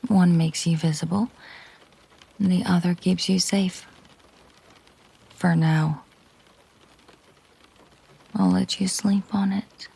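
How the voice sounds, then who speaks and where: A woman performs a dangerous, protective mafia boss girlfriend for an intimate audio roleplay. Her voice is dark and breathy with a gravelly fry, often sinking toward a whisper. She speaks very slowly and deliberately, possessive and fully in control. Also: on the low side, fairly even intonation, full character voice. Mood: cold, resolute.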